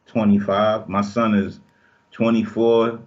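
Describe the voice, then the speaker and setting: A man's voice: lowish voice, level tone, slow, a little mellow. A man in his forties, a rapper guesting remotely, laid-back and slangy, with playful sayings. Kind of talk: casual interview chat. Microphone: video call. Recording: dull lo-fi video call audio.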